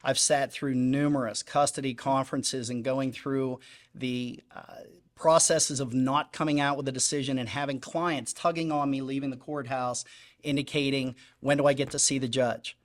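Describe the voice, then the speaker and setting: Middle-aged guy pitching himself for judge at a public forum, earnest, keeps hammering the same phrases. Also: steady tone, normal speed. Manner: earnest, emphatic, repetitive phrasing. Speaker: judicial candidate. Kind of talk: candidate forum statement.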